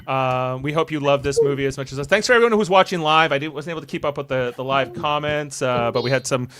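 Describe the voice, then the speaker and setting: A man hosting a casual group podcast chat, speaking in a halting, rambling way. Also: mid-range voice, fairly bright tone. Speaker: host of a fan script-reading podcast.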